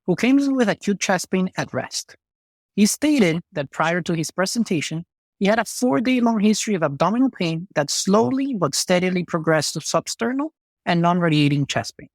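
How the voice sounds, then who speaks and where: A man's voice: sits high, dry recording. A man in his thirties from Venezuela, presenting a medical case methodically as a guest on a podcast. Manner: methodical, listing clinical details. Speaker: cardiology fellow, guest.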